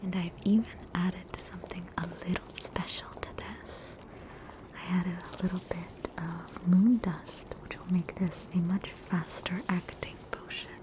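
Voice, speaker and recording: A woman's voice: lowish voice, steady tone, close to an everyday voice, poor audio. An Australian woman voicing a soothing, faintly haughty witch doctor in a whispered ASMR roleplay. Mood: soothing, reassuring. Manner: slow, soothing, reassuring bedside manner.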